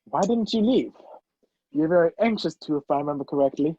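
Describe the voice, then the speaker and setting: A mellow, husky radio-play hero on the run, acted by a man. He is urgent and dryly questioning, with wide swings of pitch. The sound is slightly muffled. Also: animated, fast, dry recording. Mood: probing, dry. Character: wrongly accused man on the run, urgent and impatient. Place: video call, dry room. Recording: muffled video-call audio.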